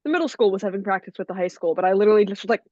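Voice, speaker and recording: A woman's voice: medium pitch, racing, darkish tone. A young adult woman with an American accent chats casually with a friend as a podcast co-host. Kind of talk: casual chat between friends. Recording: dry but dull, lo-fi call audio.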